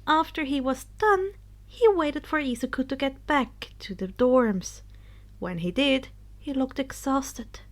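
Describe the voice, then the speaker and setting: A woman's voice: high voice, a little slow. A woman narrating fan fiction in a dramatic storytelling style.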